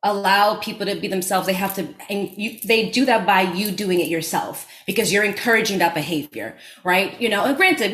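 A woman's voice: mid-range voice, fairly bright tone. Woman podcast host, reflective and earnest, recorded in a roomy space. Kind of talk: casual podcast chat.

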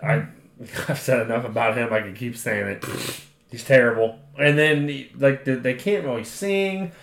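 A man's voice: mid-range voice, moderate pace, vocal fry, a bit bright, a little hiss or hum. Opinionated man in his thirties, roomy sound. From United States. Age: 30s. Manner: opinionated, blunt, swears casually. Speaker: podcast co-host. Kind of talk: casual chat. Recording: roomy echo.

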